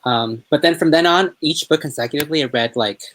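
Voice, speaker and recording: Very deep-voiced woman, casual. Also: quick pace, a bit bright, a little hiss or hum. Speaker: book discussion co-host. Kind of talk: casual chat about books.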